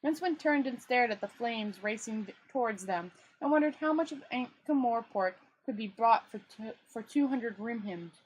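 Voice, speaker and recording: A woman with a high-pitched, husky voice reads a story aloud. Her delivery is informal and homemade, with stumbles, self-corrections and chatty asides. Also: animated, normal speed, few pauses, low-quality recording. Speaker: storyteller reading a novel aloud. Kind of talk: amateur read-aloud with casual asides.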